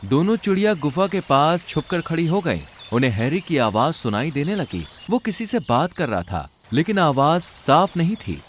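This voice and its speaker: A man's voice: a little high, sing-song, moderate pace, hardly any pauses, a little hiss or hum. A man narrating a scripted children's story.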